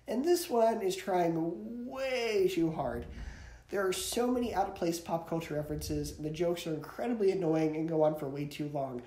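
Young man, mellow timbre, opinionated reviewer, casual countdown delivery, echoey home recording. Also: high voice. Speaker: movie reviewer. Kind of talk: casual scripted video review, countdown list. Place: small indoor bedroom with posters.

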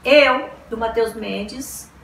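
High-pitched woman in her 40s gushing about books, bright voice, roomy echo, a bit far off. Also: extremely slow, gravelly. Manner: gushing, exclaims and repeats words. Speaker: book vlogger. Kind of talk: casual chat to camera. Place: small decorated room indoors. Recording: roomy room sound, a bit distant, faint hiss.

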